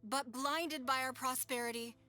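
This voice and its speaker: A woman's voice: high voice, steady tone, moderate pace, pushed and bright, soft background music. A woman playing a dignified, commanding leader giving formal oratory in a video game. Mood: solemn, resolute. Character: dignified, commanding female leader addressing her people. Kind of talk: video game cutscene speech.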